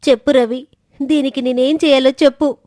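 A woman as a hot-tempered schoolboy, high-pitched. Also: fairly even intonation, moderate pace, dark tone, no echo, studio quality, natural voice. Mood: pleading, dejected. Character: hot-tempered, arrogant schoolboy, later remorseful. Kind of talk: acted dialogue in a children's moral story.

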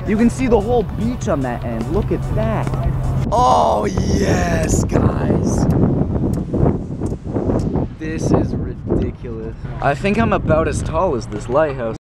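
A young man talking to viewers in a casual travel vlog, excitable and exclaiming often, outdoors on windy seaside cliffs. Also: a little high, slow, loud music behind the voice. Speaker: travel vlogger.

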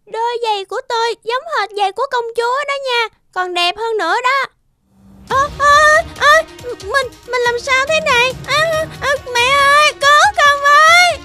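Little cartoon girl, very high childlike voice, bright and piercing, vain and whiny, music behind. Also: moderate pace, no echo, studio quality, heavily acted. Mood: frightened, distressed. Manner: whiny, theatrical. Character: vain, spoiled little girl, later frightened and pleading. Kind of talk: cartoon fairy-tale dubbing.

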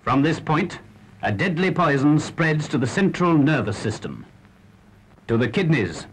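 A man's voice: a little high, very animated, a little slow, husky. A man giving grave, urgent, emphatic narration for a 1940s public health film.

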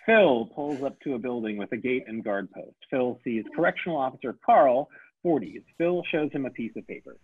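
A man reading the scene and stage directions aloud at a table read, in a plain, brisk way. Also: medium pitch, husky. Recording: tinny phone-like sound.

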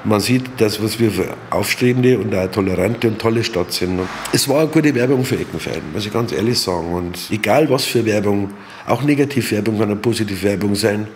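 A man's voice: lowish voice, a little fast, slight background noise. A man speaking spontaneously and emphatically in an interview, often repeating himself. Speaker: local interviewee.

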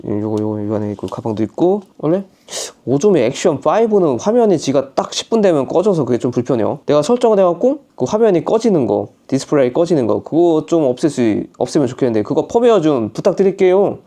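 A man's voice: fairly high pitch, big pitch swings, fast talker, few pauses, warm tone. A man from Chungcheong with a Chungcheong dialect, chatting casually and talking to himself as he unboxes a camera for his vlog. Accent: Chungcheong Korean dialect. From Chungcheong, Korea. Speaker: vlogger.

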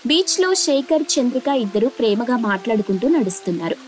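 High-voiced woman narrating a story. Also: very animated, a little fast, a little mellow. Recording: faint music bed. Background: faint background music.

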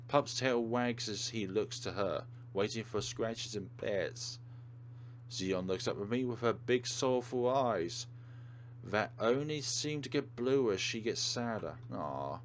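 A man's voice: on the low side, slow, a little hiss or hum. A man hosting a let's play, reading game narration aloud and adding casual commentary.

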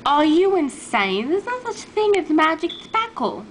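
A woman's voice: very high-pitched, very animated, unhurried, pitched above the performer's own voice, full character voice. A woman voicing a plucky, lonely little girl, blunt and curious, rambling hesitantly in an amateur comic puppet show. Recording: noisy homemade camera recording.

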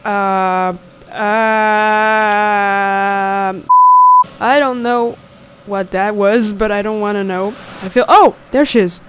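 A woman's voice: medium pitch, steady tone, very slow. A young woman giving casual, exclamatory gameplay commentary with swearing into a close studio mic. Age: young adult. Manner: reactive, exclamatory, profanity-laced. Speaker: gaming video host. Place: indoors at a home recording setup. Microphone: close studio condenser mic. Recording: clear game ambience noise behind voice. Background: horror game ambience.

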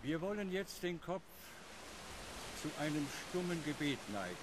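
A man's voice: fairly high pitch, sing-song, moderate pace. An actor playing a solemn clergyman reciting at a burial in an audio drama, heard at a distance. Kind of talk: liturgical recitation in a radio drama. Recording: distant, very noisy outdoor ambience.

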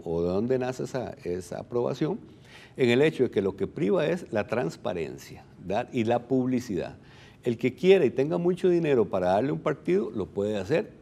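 A man's voice: mid-range voice, mellow. A middle-aged Costa Rican man speaking as an expert guest in a television interview, explaining things didactically. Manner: didactic, poses and answers own questions. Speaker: electoral registry director, interview guest. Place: television studio. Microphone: lapel mic.

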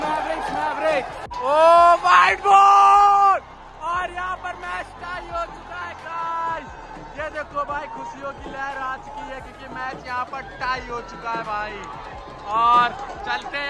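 A young man filming a live vlog from the stands of a packed outdoor cricket stadium at night. He holds his phone and talks excitedly to his viewers, calling them guys and brother. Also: very high voice. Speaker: vlogger. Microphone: phone camera held in hand. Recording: phone audio swamped by stadium crowd and music. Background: loud roaring crowd and blaring music.

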